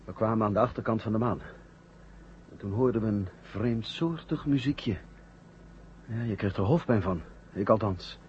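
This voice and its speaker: An actor playing a weak, dazed astronaut starved of oxygen, speaking haltingly in a 1970 radio drama on a dull recording. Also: male voice, lowish voice, a little mellow, close to an everyday voice. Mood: dazed, troubled. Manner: halting, repeating words. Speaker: actor in a science fiction radio serial. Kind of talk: 1970 Dutch-language radio drama acting. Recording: dull vintage radio recording with faint hum.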